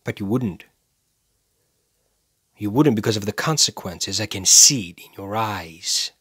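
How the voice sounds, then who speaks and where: An actor playing a cold, self-righteous serial killer in a dramatic film monologue, speaking deliberately and with menace, pausing for effect. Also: male voice, on the low side, lively intonation, pushed and bright, breathy edge, no room sound, clean studio sound, exaggerated voice. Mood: cold, controlled. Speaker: actor performing a movie monologue.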